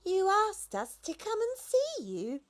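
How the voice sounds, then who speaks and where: A woman's voice: extremely high pitch, wildly swooping pitch, takes it slowly, pitched above the performer's own voice, heavily acted. A woman voicing a proud, superior female giraffe from a children's storybook, polite but haughty. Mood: bright, obliging.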